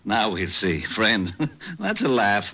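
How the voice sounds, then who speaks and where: An actor playing an irritable, sardonic and bossy police lieutenant in an old-time radio detective drama. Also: male voice, fairly low pitch, sing-song, very slow, full character voice. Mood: sarcastic. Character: gruff, exasperated police lieutenant, sarcastic and bossy. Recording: muffled vintage radio recording.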